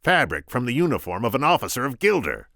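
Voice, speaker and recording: A man voicing a conceited, scheming male kidnapper from Sicily, in a shrill, mocking, smug voice, read aloud from a storybook. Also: wildly swooping pitch, quick pace, gravelly, sharp tone, dry recording, clean studio sound, exaggerated voice. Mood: scornful.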